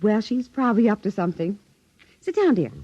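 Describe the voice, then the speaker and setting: A woman in a 1950s radio drama playing a gentle, anxious mother and wife, soothing, hesitant and fretful. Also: medium pitch, big pitch swings, fairly quick, breathy edge. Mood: mild, domestic. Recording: dull vintage radio recording.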